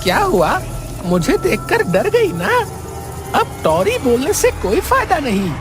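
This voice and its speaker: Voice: high-pitched, huge pitch swings, unhurried, over music, exaggerated voice. A woman voicing a childish, boastful cartoon ghost with a theatrical, sing-song drawl. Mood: taunting. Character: childish, boastful ghost, meant to scare, easily cowed. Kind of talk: cartoon voice acting.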